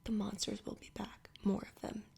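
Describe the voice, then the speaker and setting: A woman whispering the terse lines of a tough, gruff teenage boy in a storybook reading. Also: mid-range voice, flat delivery, normal speed, warm tone, full character voice.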